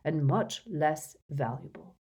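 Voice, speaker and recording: A woman's voice: deep voice, expressive, takes it slowly, dark tone, husky, full character voice. A woman voicing an elderly male wizard mentor in an audiobook story, authoritative and insistent, with intense emotion. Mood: stern, commanding. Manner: emphatic, insistent, moaning and groaning under torment.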